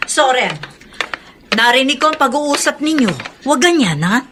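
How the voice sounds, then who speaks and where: An actress playing a patient, gently reproving mother with a Filipino accent in a radio drama. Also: high voice, expressive, normal speed, slight background noise. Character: chiding, patient middle-aged mother.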